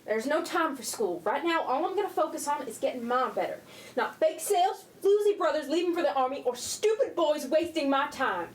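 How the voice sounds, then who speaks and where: High-voiced, scolding teenage sister played by a woman. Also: expressive, brisk. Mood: bitter, curt. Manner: sharp, sarcastic, scolding. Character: embittered, overburdened teenage eldest sister in wartime West Virginia. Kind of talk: holiday radio play acting. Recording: echoey room, distant mic, background music, noise.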